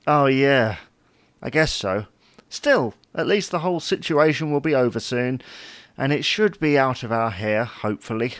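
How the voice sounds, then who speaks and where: A man's voice: mid-range voice, lively intonation, normal speed, a bit bright, no echo, natural voice. A man playing a chatty, fussy, wryly puzzled husband in an audio sitcom. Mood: dry. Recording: noticeable background noise.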